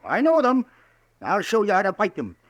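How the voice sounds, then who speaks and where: An actor playing a rambling, excitable old frontier man in a 1950s radio drama, stammering and frantic. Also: high voice, normal speed, no echo, full character voice.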